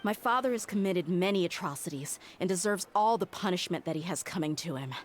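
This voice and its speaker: A woman's voice: fairly low pitch, lively intonation, fairly quick, a little hiss or hum, lightly acted. A woman playing a proud, loyal young mercenary bodyguard in a video game, speaking bluntly and earnestly. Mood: resolute.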